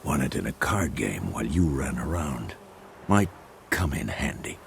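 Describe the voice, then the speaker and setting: An actor playing a gruff, wry old monster-hunting mentor in a game cutscene. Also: male voice, rumbling low, very animated, normal speed, a little hiss or hum, full character voice. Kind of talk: video game cutscene acting. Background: orchestral game score.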